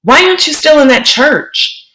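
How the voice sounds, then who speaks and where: Shaken young boy, quiet and halting, a little raised in pitch, voiced by a woman. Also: animated, poor audio. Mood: dazed.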